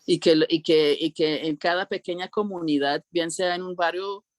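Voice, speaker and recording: A woman's voice: lowish voice, steady tone, moderate pace, no echo, studio quality. A woman speaking as an interview guest in a reflective, informal way, often checking with short tag questions. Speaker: interview guest, cultural organizer.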